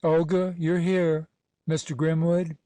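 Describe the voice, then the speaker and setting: An actor playing an officious organiser directing guests in short phrases, in a period satirical audio play. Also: male voice, fairly high pitch, flat delivery, takes it slowly, mellow. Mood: businesslike. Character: officious party organiser seating supper guests.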